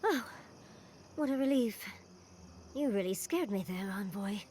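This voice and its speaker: A woman's voice: mid-range voice, lively intonation, unhurried, mellow, slight background noise, natural voice. An actress playing a sardonic, anxious female adventuring companion in a fantasy game, with a dry manner. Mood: relieved. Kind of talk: fantasy game cutscene acting.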